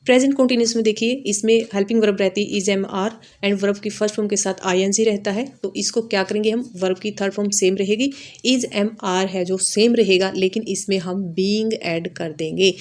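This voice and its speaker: An Indian woman gives a lesson in the manner of a grammar teacher. Her voice sits at a middle pitch. She explains each step in an instructional way, repeating key points and adding short tags to check understanding. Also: fairly even intonation, moderate pace, a little mellow.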